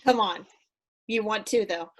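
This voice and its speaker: An actress in a staged play reading, playing a brash, eager, childlike woman who pushes a deal with cheeky excitement. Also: mid-range voice, unhurried, a little hiss or hum, lightly acted. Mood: pushy, insistent.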